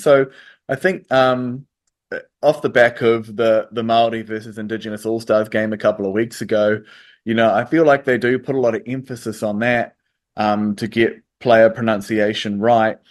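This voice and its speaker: A man, answering interview questions in a hesitant way with many pauses and restarts. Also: medium pitch. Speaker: interview guest. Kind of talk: radio interview.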